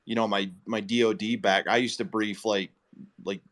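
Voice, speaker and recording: A man's voice: on the low side, fairly even intonation, normal speed, fairly bright tone. A man hosting a live group call, chatting informally and with enthusiasm. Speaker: host of live investor audio calls. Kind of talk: casual chat in a live group audio call.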